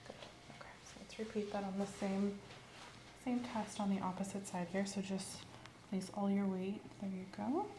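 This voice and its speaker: A woman hosting an ASMR physical exam roleplay, giving calm, step-by-step instructions in a soft voice. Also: mid-range voice, moderate pace. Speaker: ASMR host performing an exam. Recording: roomy with faint hiss.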